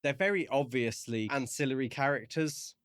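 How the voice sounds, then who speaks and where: A man with a medium-pitched voice co-hosts a podcast in a casual, discursive style. He feels his way through thoughts in measured phrases. The recording is dry, with no room sound. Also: smooth, no room sound. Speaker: podcast co-host. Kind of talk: casual book discussion chat.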